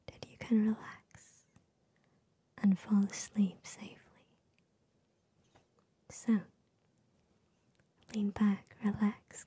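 A young woman giving soothing ASMR affirmations in a soft, breathy whisper, gentle and repetitive, very close to the microphone. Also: mid-range voice, no echo. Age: young adult. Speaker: ASMR host. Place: small bedroom with gaming setup, indoors. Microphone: large microphone very close to the mouth.

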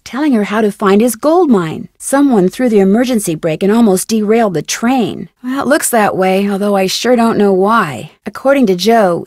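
A woman's voice: mid-range voice, a little mellow, no room sound, clean studio sound, close to an everyday voice. A woman playing a friendly, curious young American amateur detective in a video game, speaking in a perky, inquisitive, conversational way. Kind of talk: scripted game dialogue, conversational.

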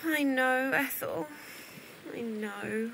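Woman acting bright, naive Victorian younger sister. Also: high-pitched, very slow, vocal fry, slight background noise, exaggerated voice, rough recording. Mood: lovelorn. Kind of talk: period audio drama acting.

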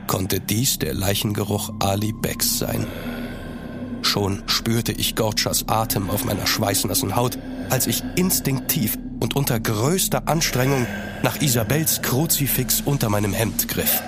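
A man playing an uneasy gentleman traveller, narrating a horror audio drama in a measured, suspenseful way in German. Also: fairly low pitch, loud music behind the voice, lightly acted.

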